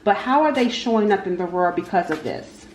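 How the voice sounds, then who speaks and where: A woman's voice: mid-range voice, lively intonation. Female tarot host, informal and improvised, repeats herself while reading cards; roomy sound with slight background noise. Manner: halting, repeats phrases, talks to spirit and cards. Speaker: tarot reader, channel host. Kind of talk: casual tarot card reading, unscripted. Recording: echoey room with faint background noise.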